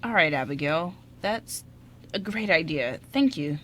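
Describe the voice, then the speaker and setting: A woman's voice: sits low, animated, a little slow, a bit bright, exaggerated voice. A woman playing a shy, smitten young man in an amateur radio drama, speaking in a hesitant, stammering way. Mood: grateful, hesitant.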